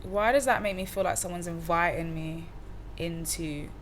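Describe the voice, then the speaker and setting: Young woman musician, low-pitched, easygoing slangy talker, faint room hiss behind. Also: moderate pace. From Cardiff, Wales. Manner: relaxed, slangy, many fillers and restarts. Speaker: musician guest. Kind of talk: casual interview chat.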